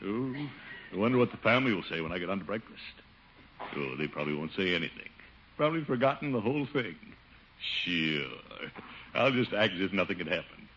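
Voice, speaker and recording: An actor playing a pompous, easily wounded middle-aged uncle, blustering and muttering theatrically in 1950s radio comedy style. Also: male voice, sits low, lively intonation, a little fast. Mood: self-mocking grumbling. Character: pompous, wounded, self-pitying chubby middle-aged uncle, town official. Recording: muffled, noisy old radio broadcast.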